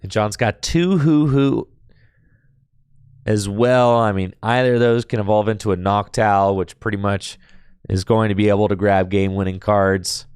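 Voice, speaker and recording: A man with a hoarse voice, calling a card game tournament stream in measured play-by-play. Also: lowish voice, steady tone, a little mellow, no echo, clean studio sound. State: hoarse, losing his voice.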